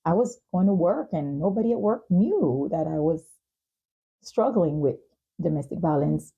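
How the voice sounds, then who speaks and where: A woman hosting a podcast interview, speaking in an earnest, measured, reflective way with frequent pauses. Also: on the low side, animated, creaky, slightly rough, narrow, tinny audio. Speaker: talk show host and advocate.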